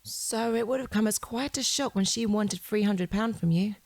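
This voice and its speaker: An actress playing a shrewd, composed police detective who questions a suspect in a controlled, insistent way in an audio drama. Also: female voice, mid-range voice, normal speed, a little mellow, dry recording, close to an everyday voice. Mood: falsely sympathetic.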